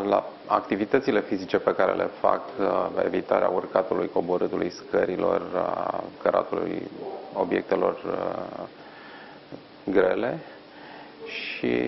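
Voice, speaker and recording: A middle-aged man, an orthopedic surgeon, answering questions in a live TV interview from a hospital ward, measured and explanatory with frequent pauses. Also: deep voice, vocal fry, dull audio, a little hiss or hum. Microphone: lapel mic.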